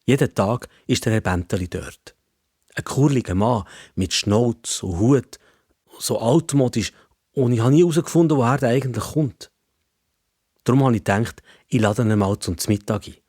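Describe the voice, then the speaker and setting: Male narrator for a kids' story, Swiss accent, mid-range pitch, scripted delivery in a very dry recording. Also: moderate pace, a little mellow, slightly rough, no room sound.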